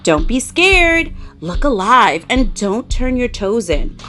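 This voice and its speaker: A woman voicing a proud, protective but tired mother duck in a children's story, with drawn-out, emphatic phrasing. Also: on the high side, sing-song, a bit bright, faint music behind, full character voice. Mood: encouraging. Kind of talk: children's storybook character reading.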